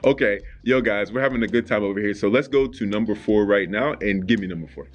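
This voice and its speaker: A man hosting a casual video chat, excitable and quick to laugh. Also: on the low side, muffled recording. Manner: excitable, animated, laughing a lot. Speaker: channel host.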